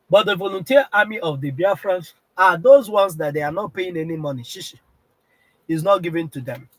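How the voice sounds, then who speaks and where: A Nigerian man with a heavy cold and a strained throat, hosting a political live-stream broadcast and clearing his throat often. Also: a little high, no room sound, low-quality recording. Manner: keeps clearing his throat, repetitive and insistent.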